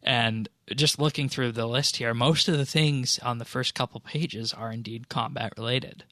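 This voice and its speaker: A man's voice: medium pitch, steady tone, moderate pace, bright, forceful tone, no echo, clean studio sound. A man hosting a casual podcast discussion, talking things through as he thinks aloud. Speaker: podcast host.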